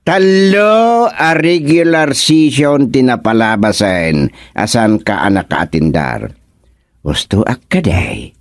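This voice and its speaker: Man playing a slow, stern village official. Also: mid-range voice, very animated, no echo, clean studio sound. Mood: stern, sarcastic. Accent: Filipino. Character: stern, sarcastic village official scolding his subordinate. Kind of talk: comedic radio drama acting.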